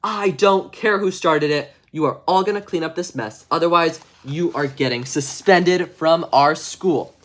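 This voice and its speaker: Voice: mid-range voice, a little slow, few pauses, natural voice. A firm, no-nonsense school janitor scolding kids in a storybook, voiced by a reader.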